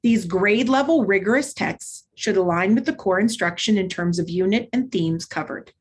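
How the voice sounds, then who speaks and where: A woman instructor giving an instructional presentation, explaining in a clear, structured way with short measured phrases. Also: mid-range voice, slight background noise. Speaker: literacy instructor. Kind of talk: instructional presentation with modeled read-aloud.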